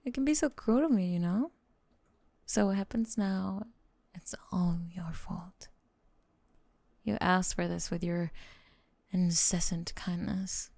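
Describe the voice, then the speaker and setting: A young woman playing a lovestruck, possessive lamia roommate in an intimate ASMR roleplay, whispering slowly and tenderly in a breathy, coaxing way. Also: mid-range voice, warm tone, dry recording. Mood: teasing, accusing.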